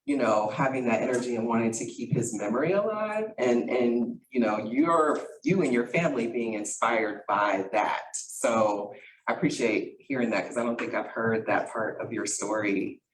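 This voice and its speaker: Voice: medium pitch, normal speed, slight background noise. Moderator in a roomy hall, chatty fillers. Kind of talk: panel moderation. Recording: roomy, distant mic.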